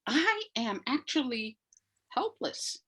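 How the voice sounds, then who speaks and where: A woman's voice: sits high, sing-song, takes it slowly, sharp tone, husky. A woman speaking as an interview guest, explaining in an emphatic way and repeating phrases for effect. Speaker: interview guest, audio drama maker. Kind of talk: animated explanatory interview talk.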